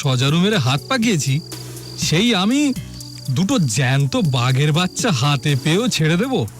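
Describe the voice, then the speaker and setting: An actor playing a proud hunter and host who relishes his tales, in measured, suspenseful audio drama storytelling. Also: fairly high pitch. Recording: music behind, chirping crickets.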